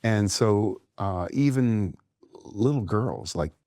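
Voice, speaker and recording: A man in his fifties hosting a talk show in a TV studio, chatting in a relaxed way with filler words. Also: on the low side, slow, no room sound. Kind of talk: casual studio interview.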